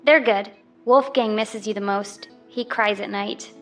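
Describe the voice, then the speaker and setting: A woman's voice: medium pitch, measured pace, lightly acted. A woman playing an earnest, dutiful teenage girl in an audio drama, polite and reassuring. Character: earnest, dutiful fifteen-year-old daughter. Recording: music under the voice.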